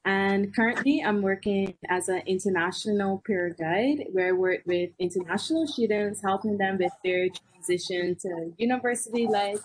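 A young woman from Barbados, a university student, introducing herself on a podcast in a clear, steady way. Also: medium pitch, moderate pace, hardly any pauses, slight background noise, low-quality recording. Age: young adult. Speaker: student and peer guide. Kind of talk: self-introduction.

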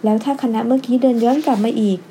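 A woman voicing an excitable, chatty, innocent little schoolgirl in a storybook, with an eager, polite, childlike way of talking. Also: on the high side, racing, a little hiss or hum, lightly acted. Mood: earnest.

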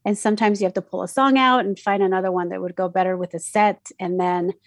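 A woman's voice: medium pitch, steady tone, fast, no echo, studio quality. Chatty woman with a Mexican Spanish accent. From Mexico City. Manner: chatty, many fillers and restarts. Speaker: radio DJ. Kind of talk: casual interview answers.

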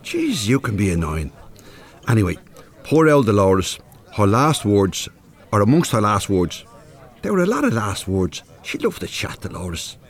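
Older man, Dublin accent, radio play, plain-spoken, easily exasperated, expressive pitch. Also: a little slow. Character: rough-edged, easily irritated older widowed working man. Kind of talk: radio drama dialogue.

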